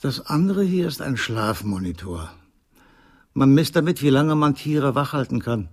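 Ageing scientist, radio drama, creaky voice, medium pitch, measured, sardonic, lonely. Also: male voice, expressive, darkish tone. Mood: dry irony. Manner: dry, ironic, measured. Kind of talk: German radio play acting.

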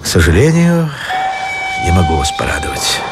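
A man's voice: deep voice, wildly swooping pitch, normal speed, heavy background noise. A man playing a deferential, diplomatic prime minister in a radio drama, with a polite, careful manner. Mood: apologetic. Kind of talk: Russian radio drama acting.

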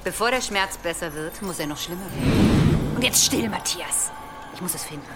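An actress playing a mocking, gruff heathen herb woman in a radio play, sardonic and prone to muttering and whispers. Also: on the low side, animated, moderate pace, over loud music, close to an everyday voice. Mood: brusque, businesslike. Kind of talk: dramatic radio play acting.

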